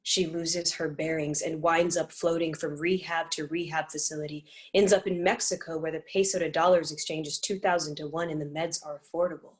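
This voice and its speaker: A woman reading scripted dramatic narration and stage directions in a measured, deliberate way. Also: low-pitched, flat delivery.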